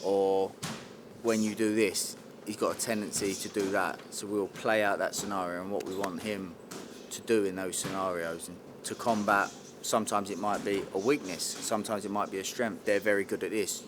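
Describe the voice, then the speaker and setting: A man with a fairly low voice speaks as a coach in an interview. He breaks down tactics plainly and analytically in short, clipped phrases, over clear background noise. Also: steady tone, moderate pace. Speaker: boxing coach. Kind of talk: interview explanation of training and tactics. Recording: loud background noise, on location.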